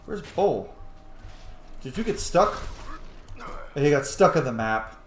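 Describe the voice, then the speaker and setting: A man's voice: on the high side, big pitch swings, slow, roomy sound, a little hiss or hum. A man giving casual, chatty gameplay commentary, exclaiming and swearing as he plays. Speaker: let's player narrating gameplay.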